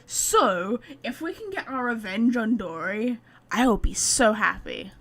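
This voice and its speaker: A woman's voice: on the high side, lively intonation, a little slow, fairly bright tone. A woman live streaming a game, chatting informally to her viewers with wry, casual commentary.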